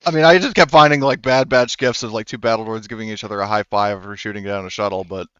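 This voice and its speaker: A man acting as game master in a tabletop game, talking with frequent pauses and fillers. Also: on the low side, fairly bright tone, clean tone, dry recording, lo-fi sound. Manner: hesitant, lots of fillers and restarts.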